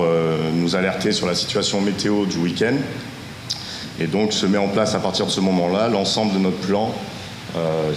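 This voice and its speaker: A man giving a semi-improvised technical presentation as a local official, with halting delivery, frequent hesitations and self-corrections. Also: sits low. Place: conference room at a technical seminar. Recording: echoey room, distant ambient noise.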